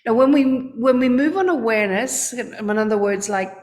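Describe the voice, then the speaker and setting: A woman's voice: a little high, lively intonation, normal speed, a little mellow. A woman, a guest on a podcast interview, explaining her ideas earnestly and often repeating words as she searches for them. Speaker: guest, trading coach.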